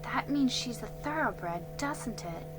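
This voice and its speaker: A woman's voice: on the high side, expressive, slow pace, over music, rough recording. A woman voicing a spirited, earnest young girl in a storybook reading. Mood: dreamy wonder. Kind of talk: storybook character voice.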